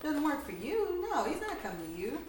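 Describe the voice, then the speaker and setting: A woman's voice: sits high, very animated, a little fast, distant mic. Sarcastic woman in a very echoey room. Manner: sarcastic, irreverent. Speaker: player in a tabletop role-playing game. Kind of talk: casual in-character banter. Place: indoor room, home game session. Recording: very echoey room, clear background noise. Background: noise, air conditioner hum.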